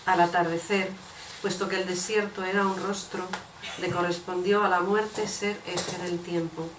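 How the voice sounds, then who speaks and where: A woman's voice: fairly low pitch, flat delivery, measured pace. Woman reciting poetry, distant and echoey. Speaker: reader of poems in translation. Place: bookshop event. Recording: echoey room, distant noisy mic.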